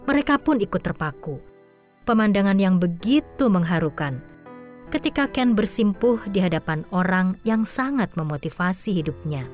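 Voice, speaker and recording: A woman giving scripted, expressive storytelling narration for an audio drama. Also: medium pitch, normal speed, faint music behind.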